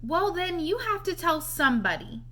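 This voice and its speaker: A woman plays an earnest little storybook boy who pokes into everyone's business kindly. The voice is high and bright. He gives homespun advice in a persistent, matter-of-fact way. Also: very animated, moderate pace, exaggerated voice. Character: earnest, nosy little red-headed boy, kind and persistent.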